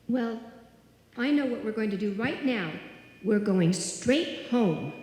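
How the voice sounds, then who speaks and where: A woman's voice: fairly high pitch, lively intonation, slow pace, darkish tone. A woman playing a blunt, cautious small-town lady friend in a live old-time radio drama. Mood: firm, alarmed. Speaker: amateur radio play actor. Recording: echoey hall, live stage, faint noise.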